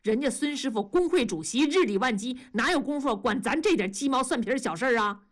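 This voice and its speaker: A woman's voice: sits high, animated, brisk, voice pushed up. A woman voicing an aggrieved male factory worker who grumbles sarcastically in colloquial exclamations. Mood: flustered, irritated.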